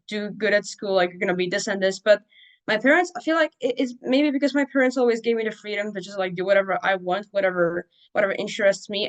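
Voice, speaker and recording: A woman's voice: mid-range voice, poor audio. Young Czech woman chatting casually. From Czech Republic. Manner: loose, filler-heavy, restarts often. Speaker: podcast guest. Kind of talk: casual interview talk.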